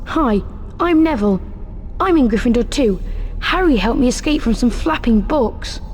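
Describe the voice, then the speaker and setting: A man's voice: extremely high pitch, lively intonation, moderate pace, slight background noise. A man voicing a hapless, friendly young schoolboy in a video game, hesitant and earnest. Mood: shy, friendly. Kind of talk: video game cutscene acting.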